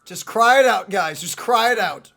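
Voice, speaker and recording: A playful, excitable let's play host reacting casually to a video game. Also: medium pitch, normal speed. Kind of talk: casual gameplay reaction commentary. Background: game music.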